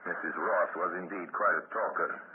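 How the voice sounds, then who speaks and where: A man plays a grave narrator for an old radio crime show, his voice very deep and measured. A loud hiss runs under the vintage broadcast. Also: fairly even intonation, phone-quality sound, lightly acted. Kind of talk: 1950s radio drama narration.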